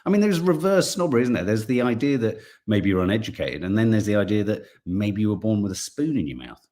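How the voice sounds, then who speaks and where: Lively, chatty man reading viewer comments. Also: fairly low pitch, fast talker. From English Midlands. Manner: chatty, reads comments aloud, trips over words. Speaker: live stream co-host reading viewer comments. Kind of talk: casual live chat.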